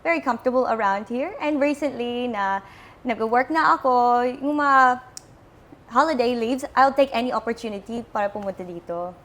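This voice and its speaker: A woman's voice: a little high, normal speed, fairly bright tone. A young woman in her twenties from Australia, chatting in a bubbly way as an interview guest, switching between English and Tagalog. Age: 20s. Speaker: pageant contestant guest. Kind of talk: casual interview chat.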